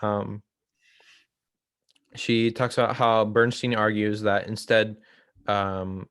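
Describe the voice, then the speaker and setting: A man with a fairly low voice leads an informal theory read-along. He explains things hesitantly and corrects himself as he goes. The sound is dry, with very little room in it. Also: level tone, takes it slowly, fairly bright tone, clear, resonant tone, dry recording. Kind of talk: informal book discussion.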